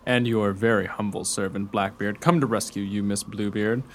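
A man's voice: lowish voice, steady tone, moderate pace, a little hiss or hum, close to an everyday voice. A man playing a gallant, self-assured rescuer and suitor in a radio play, with formal, declamatory delivery. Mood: confident, commanding.

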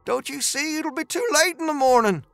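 A man's voice: very high voice, big pitch swings, takes it slowly, vocal fry, sharp tone, dry recording, voice pushed up, full character voice. A man voicing an agitated, evasive townsman who talks in a strained, insistent way in a western audiobook. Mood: agitated, pleading.

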